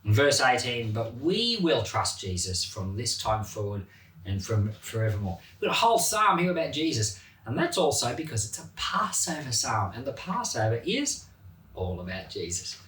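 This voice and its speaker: A man, a pastor, reading a psalm and then explaining it in a chatty, animated way. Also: mid-range voice, moderate pace. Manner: animated, chatty, laughs at his own points. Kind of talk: Bible reading and informal teaching. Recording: roomy echo, mic at a distance.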